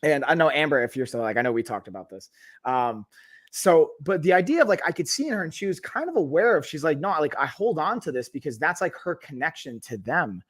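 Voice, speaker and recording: A man's voice: a little high, animated, fairly quick. A man hosting a podcast, thinking aloud in a rambling, thoughtful way, full of fillers and restarts. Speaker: podcast host. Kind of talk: casual podcast conversation.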